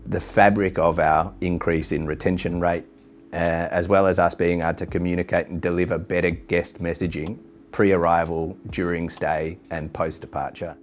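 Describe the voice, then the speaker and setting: A man giving a measured corporate testimonial in business language, like an executive being interviewed. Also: deep voice, darkish tone. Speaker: hostel chain executive. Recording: background music under speech.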